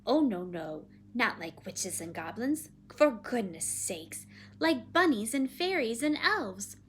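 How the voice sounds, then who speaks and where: A woman's voice: a little high, sing-song, slow pace, slight background noise. A woman voicing a kindly, cheerful countrywoman in a children's story, chatty and reassuring. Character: kindly, cheerful countrywoman Missus Piggle Wiggle.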